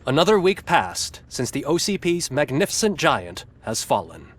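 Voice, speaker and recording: A man's voice: fairly high pitch, big pitch swings, moderate pace, a bit bright. A man playing a glib, satirical TV news anchor in a video game, with a polished, smugly ironic delivery. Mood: glib, upbeat. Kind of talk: scripted satirical newscast narration.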